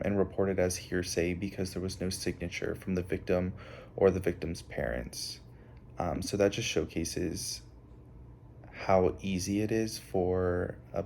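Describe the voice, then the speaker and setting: A young man giving a prepared analysis as a student presenter, halting often with filled pauses. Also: deep voice, gravelly, a little mellow, slight background noise. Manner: halting, hesitant delivery with frequent filled pauses.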